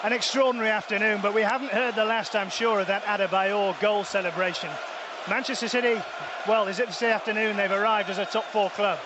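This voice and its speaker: A man's voice: high-pitched, fairly even intonation, brisk. A man giving a live football match report from an outdoor stadium as a reporter. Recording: loud outdoor stadium noise.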